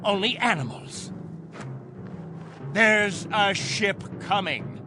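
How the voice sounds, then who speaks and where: An actor playing a stern, fervent captain of a space colony in a radio drama, with an oratorical, authoritative delivery. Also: male voice, high-pitched, lively intonation, slow pace, music in the background. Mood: rousing fervour.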